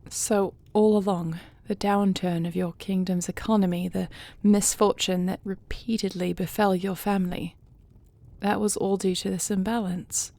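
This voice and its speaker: A woman playing a dragon queen and devoted wife in an audio roleplay, speaking in a formal, measured, earnest way. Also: medium pitch, little pitch movement, gravelly, mellow, natural voice. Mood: puzzled, concerned.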